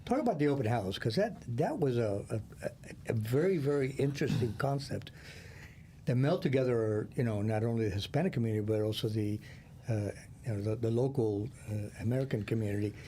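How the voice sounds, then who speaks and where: Mellow-voiced male interviewer. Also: mid-range voice, expressive, brisk. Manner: encouraging, leading questions. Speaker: interviewer, host. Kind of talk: conversational interview. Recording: faint background noise.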